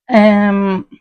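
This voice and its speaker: Slow, hesitant French-Canadian woman. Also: medium pitch, clean tone. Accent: Québécois French. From Quebec, Canada. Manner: halting, many ums and uhs, soft-spoken. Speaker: crafting channel host. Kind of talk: casual crafting vlog.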